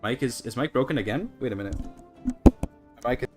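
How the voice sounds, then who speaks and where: A man's voice: medium pitch, a little fast, over loud music. A Canadian man chatting casually to his livestream audience, rambling and apologetic as he goes. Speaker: live streamer. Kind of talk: casual gaming livestream chat.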